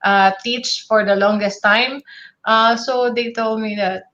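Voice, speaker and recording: A woman's voice: on the high side, fairly even intonation, unhurried, a bit bright, dull audio. A woman from the Philippines speaking as a panel guest, talking at length with many restarts and fillers. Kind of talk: casual panel discussion.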